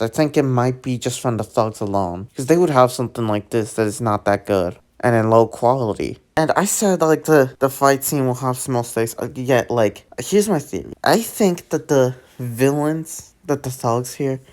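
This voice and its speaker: A young man chatting through a movie trailer in a loose, meandering way. He leans on "like" constantly, restarts sentences and circles back to his points. His voice sits at an ordinary middle pitch for a man. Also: a little mellow. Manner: rambling, heavy use of "like" fillers and restarts. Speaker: trailer reaction vlogger. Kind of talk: casual trailer commentary.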